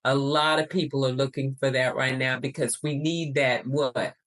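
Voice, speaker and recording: This middle-aged woman has a low voice. She talks as a podcast co-host in a casual, chatty style. Her delivery is expressive, and she leans on repeated words for emphasis. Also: moderate pace.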